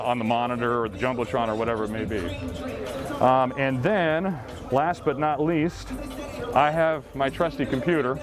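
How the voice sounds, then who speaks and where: A man talking casually and explaining things step by step, like an announcer giving a behind-the-scenes tour. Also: medium pitch. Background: background music.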